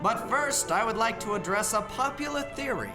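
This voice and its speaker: Man as a lively high-pitched 1940s radio announcer. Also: moderate pace. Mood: smooth, promotional. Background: music.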